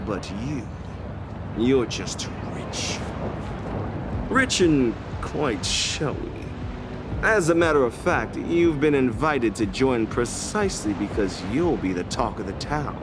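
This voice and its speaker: A man's voice: mid-range voice, sing-song, slow pace, noisy background. A sly, teasing showman. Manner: teasing, theatrical, chuckling. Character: sly showman producer, mocking and persuasive. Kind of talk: video game cutscene voice acting. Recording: music playing underneath.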